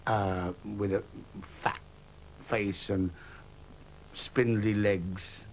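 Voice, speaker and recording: A man's voice: lowish voice, fairly even intonation, very slow, a little hiss or hum, low-quality recording. A man, a pantomime dame performer, frankly reminiscing and chuckling in an interview. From England.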